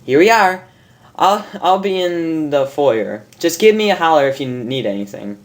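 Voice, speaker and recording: A woman's voice: low voice, animated, normal speed, pitched above the performer's own voice. A woman voicing a polite, motherly pony shopkeeper from a cartoon, wary but helpful. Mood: obliging.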